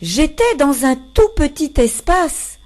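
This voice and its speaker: A woman with a fairly high voice recites verse at a very slow pace. Her phrasing is measured and expressive, with deliberate pauses between the lines. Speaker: poetry reader.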